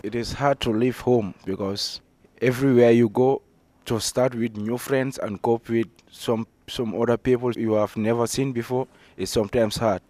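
A young man in his late teens with a Gambian accent gives informal interview answers. His mid-pitched voice has a soft, mellow tone. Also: steady tone, moderate pace. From Gambia, West Africa. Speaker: high school student being interviewed.

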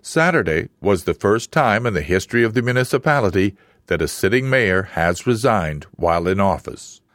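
A man reading a scripted radio news report in a measured, even newsreader delivery. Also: lowish voice, dry recording, studio quality.

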